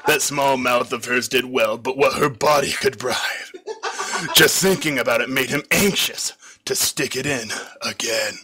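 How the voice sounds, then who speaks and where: A man's voice: mid-range voice, a little slow, music in the background. A man reading a comic fan fiction story aloud as scripted narration.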